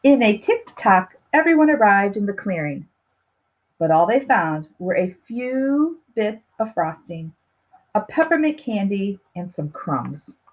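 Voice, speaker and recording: A woman's voice: medium pitch, sing-song, measured pace, lo-fi sound. A woman reading a picture book aloud to young children, expressively stretching key words and putting questions to her listeners. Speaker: storybook reader.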